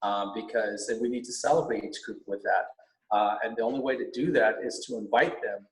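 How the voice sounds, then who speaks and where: A middle-aged man whose first language is Vietnamese, a teacher running for school board, speaking earnestly with many halting restarts. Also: on the low side, steady tone. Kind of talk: earnest interview talk. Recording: roomy echo.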